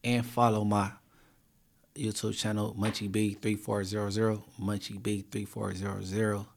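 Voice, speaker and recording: A man co-hosting a podcast in casual chat, teasing his co-host and turning loud and insistent when he pushes a point. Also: fairly low pitch, flat delivery, normal speed, creaky.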